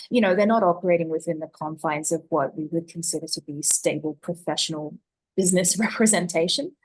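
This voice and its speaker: Lower-voiced woman explaining on a panel. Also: a little mellow, rough recording. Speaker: panelist, technology expert. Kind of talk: spontaneous explanation on a conference panel.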